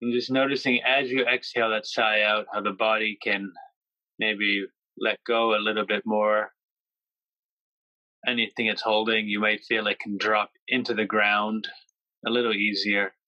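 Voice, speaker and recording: A man guiding a yoga nidra meditation, speaking slowly and gently with pauses between phrases. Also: fairly low pitch, little pitch movement, no room sound, highs cut off. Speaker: meditation guide.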